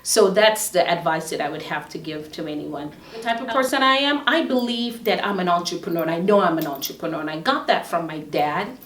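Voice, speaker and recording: A woman's voice: fairly low pitch, lively intonation, fairly quick, fairly bright tone. A middle-aged woman from Guyana, hosting and introducing herself in a casual, earnest way and giving motivational advice. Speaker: host. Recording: roomy sound from a distant mic.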